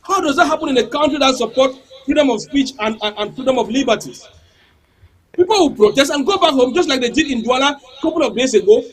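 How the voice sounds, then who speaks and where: A man's voice: very high-pitched, animated, quick pace. A man, not a native English speaker, arguing heatedly as a panel guest in a political debate, firing off rhetorical questions and repeating words.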